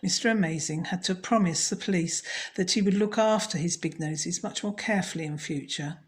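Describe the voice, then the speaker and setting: A woman storyteller narrating a children's story in a measured, scripted read. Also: on the low side.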